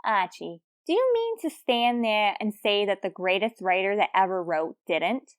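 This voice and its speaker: A woman's voice: medium pitch, lively intonation, moderate pace, clean tone, no echo, studio quality, lightly acted. A woman playing a scatterbrained, pretentious tavern chatterbox with an earnest manner in a radio comedy. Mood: indignant. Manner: earnest, rambling, indignant. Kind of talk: old-time radio comedy acting.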